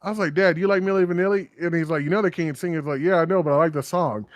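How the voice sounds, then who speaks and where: A man's voice: fairly high pitch, rapid-fire, no echo. A man co-hosting a podcast, rambling through stories with lots of fillers and swearing. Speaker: podcast co-host. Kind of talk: casual chat.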